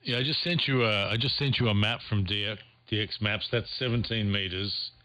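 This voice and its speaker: A man's voice: lowish voice, a little fast, pushed and bright, slight background noise. A man talking casually as an amateur radio operator, thinking aloud and repeating phrases as he goes. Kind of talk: casual ham radio contact.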